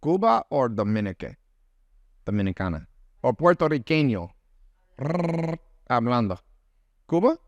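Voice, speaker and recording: A man in casual conversation, telling lively stories and mimicking accents and friends' phrasing. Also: mid-range voice, unhurried, pauses often, no echo, lo-fi sound. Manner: animated storyteller, mimics accents. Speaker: podcast guest, business mentor. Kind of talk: casual chat.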